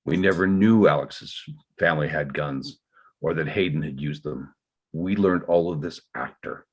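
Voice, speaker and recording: A man playing a burdened, defensive father in a dramatic table read, explaining himself in halting, measured phrases. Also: very deep voice, breathy edge, full character voice. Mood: subdued, explaining.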